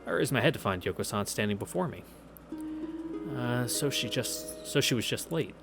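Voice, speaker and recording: A man's voice: mid-range voice, animated, moderate pace. A man reading through a visual novel in a casual, laid-back way, with wry asides. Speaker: visual novel let's player. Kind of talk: casual read-through with reactions and anecdotes. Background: video game music.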